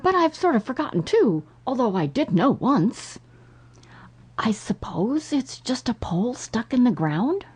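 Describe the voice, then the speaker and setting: High, fussy, self-important rabbit. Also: male voice, very animated, measured pace, a little mellow, breathy edge, exaggerated voice. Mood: pondering.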